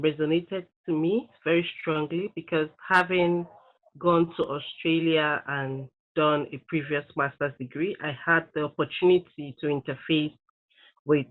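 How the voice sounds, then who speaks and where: A woman from Nigeria giving a measured, deliberate interview answer with pauses. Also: sits low. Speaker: peace fellow. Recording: tinny phone-like audio.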